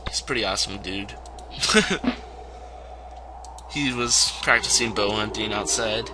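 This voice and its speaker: A young man with a hoarse voice, casually commenting on a strategy game he is playing at home, rambling and chuckling often. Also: fairly low pitch, measured pace. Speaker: let's play gamer. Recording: steady background noise.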